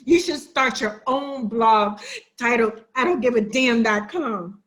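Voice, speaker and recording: Woman acting hurt sassy sister telling off her sibling, high voice, emphatic, play reading. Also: takes it slowly, a bit bright. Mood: bitter, resolute. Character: wounded, defiant younger-minded sister confronting her sibling.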